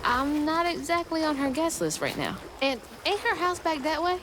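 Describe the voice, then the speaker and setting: Young Southern woman, lively, high-voiced. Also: normal speed, background noise. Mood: sassy, questioning. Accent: American South. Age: young adult. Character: plucky young Southern woman, sassy, caring daughter. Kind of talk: naturalistic video game acting. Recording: music under the voice. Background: game music.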